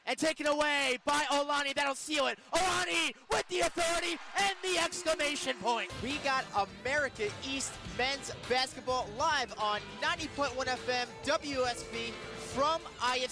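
A man calling basketball play-by-play on the radio, with excitable, punchy calls. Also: extremely high pitch, moderate pace, music in the background. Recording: arena crowd and court noise behind. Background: basketball game in an arena.

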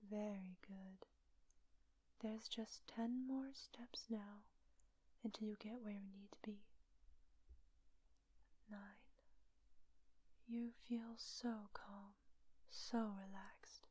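Female villain captor played by a woman, hypnosis audio roleplay: soft mellow whisper, close dry sound, coaxing and manipulative. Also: mid-range voice, fairly even intonation, dry recording, low-quality recording. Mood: hypnotic, calming. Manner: hushed, breathy whispering, slow, coaxing instructions with long pauses.

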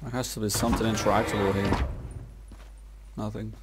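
A man's voice: fairly low pitch, little pitch movement, fairly quick, noisy background. A man giving casual gameplay commentary in an awestruck, slangy way, peppered with dude and man. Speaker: gamer commentating his playthrough.

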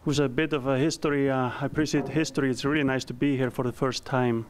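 A man in his thirties from Iceland with an Icelandic accent, giving a conference presentation with a handheld mic, earnest and halting with frequent ums. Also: medium pitch, fairly even intonation, a little hiss or hum. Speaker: company founder giving a presentation. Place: conference room.

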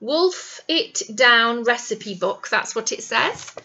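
A woman teacher talks to small children as she shares a picture book. Her voice is bright and rather high, swinging widely in pitch. She explains things and keeps checking in with questions. The sound is slightly dull. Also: animated, slow pace, creaky. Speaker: primary school teacher. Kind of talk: reading a picture book aloud to young children. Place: small indoor classroom. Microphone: webcam or device at arm's length.

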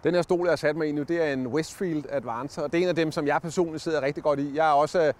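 A man's voice: a little high, steady tone, darkish tone, a little hiss or hum. A man in his forties giving a casual product review on a campsite lawn, talking with many fillers and restarts into a lapel mic. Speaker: product tester.

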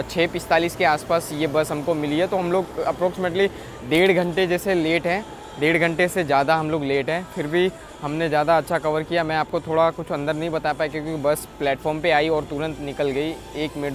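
A man's voice: on the high side, a little hiss or hum. A man narrating a travel vlog from a bus and bus stops, chatty and full of fillers as he points things out to viewers. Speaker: travel vlogger. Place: on and around a long-distance bus in city streets.

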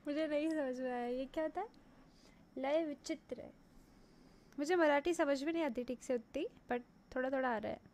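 A woman's voice: high voice, normal speed, a little mellow. A woman in her twenties with an Indian accent reacting casually as a streamer, amused and repeating phrases back. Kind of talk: casual live reaction, mixing Hindi with some English. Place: indoor room at a streaming setup.

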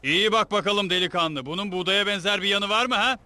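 A man's voice: high-pitched, brisk, background noise, natural voice. A man playing a stern, commanding soldier captain in a cartoon dub, barking orders and accusations. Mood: menacing. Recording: dramatic music behind the dialogue.

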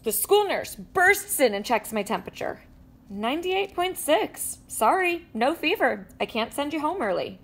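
A woman reading a children's picture book aloud with animated storytelling and playful sound effects. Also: sits high, moderate pace. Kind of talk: expressive read-aloud. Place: indoors at a table with a book.

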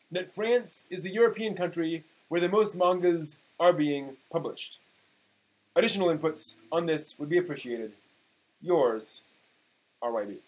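This is a man in his forties with a voice a little higher than usual. He reads an online post aloud in a theatrical, mock-dramatic style. His delivery is emphatic and sometimes halting. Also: rough recording. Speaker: performer reading old forum posts. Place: small room at home, indoors.

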